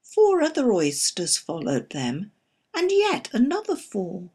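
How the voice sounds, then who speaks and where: A woman expressively reciting a narrative poem in a measured storytelling manner, with a slightly husky voice. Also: medium pitch, wildly swooping pitch, warm tone. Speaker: poetry reader.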